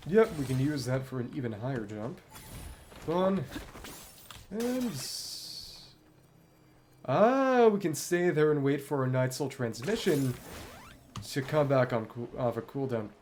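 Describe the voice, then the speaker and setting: A man giving casual solo commentary as a game streamer, musing aloud in short, halting remarks. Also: mid-range voice, animated, darkish tone, a little hiss or hum.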